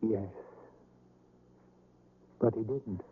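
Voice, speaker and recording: Very slow, grave male confidant. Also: fairly low pitch, a little hiss or hum. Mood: solemn. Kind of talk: 1970s radio drama acting. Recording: thin phone-like old radio recording.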